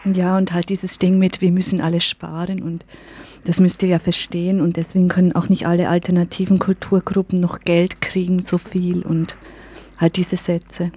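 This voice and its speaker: A woman's voice: fairly low pitch, little pitch movement, normal speed, warm tone. A woman talks casually and conversationally as a radio interviewer. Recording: dull lo-fi local radio recording.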